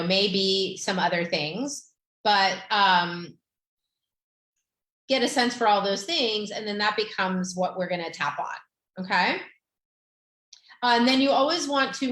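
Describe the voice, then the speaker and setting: A middle-aged woman, a Romanian native speaker, hosting a podcast as a therapist, gently guiding listeners through a tapping exercise with many fillers. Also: medium pitch, roomy sound, rough recording.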